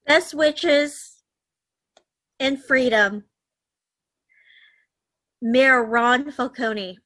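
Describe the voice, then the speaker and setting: A woman reading a political speech aloud over a video call, with deliberate emphasis and the odd stumble. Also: sits high, fairly bright tone. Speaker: meeting speaker reading a message.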